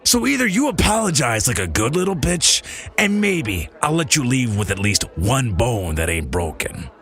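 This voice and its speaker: A man's voice: mid-range voice, sing-song, normal speed, fairly bright tone, faint music behind. A man voicing a big, bald, bearded bar thug who is menacing, swaggering and foul-mouthed in an audio drama.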